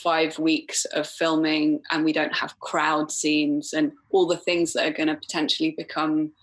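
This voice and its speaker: A woman from Wales, chatting as a podcast guest, full of fillers and quick laughs. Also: low-pitched, little pitch movement. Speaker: film director guest. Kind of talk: casual podcast chat.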